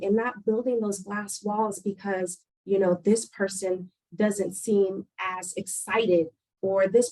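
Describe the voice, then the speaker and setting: A woman moderating a panel discussion, explaining ideas in a measured way with frequent pauses and fillers. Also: medium pitch, mellow, poor audio.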